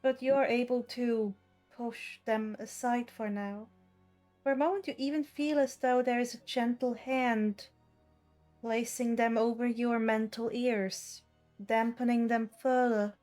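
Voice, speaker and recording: A woman with a fairly high voice acts as game master for a tabletop roleplaying session. She takes her time, pacing the narration slowly. Faint music plays behind her. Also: a little mellow.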